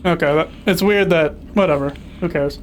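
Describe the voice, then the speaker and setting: A man's voice: on the high side, moderate pace, slight background noise. A young man casually commenting on a video game as he plays, chatty and sarcastic. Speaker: streamer playing a video game.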